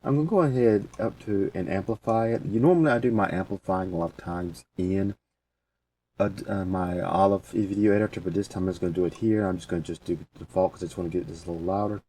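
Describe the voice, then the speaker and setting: Low-voiced man giving a casual tutorial. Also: fast talker, mellow. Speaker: tutorial presenter. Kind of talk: casual software walkthrough. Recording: distant mic with faint background noise.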